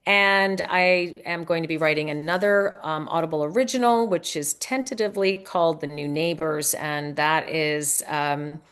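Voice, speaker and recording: A woman speaking as a guest author in a casual interview, conversational and often pausing to think aloud. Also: deep voice, fairly even intonation, a bit bright, clear, resonant tone.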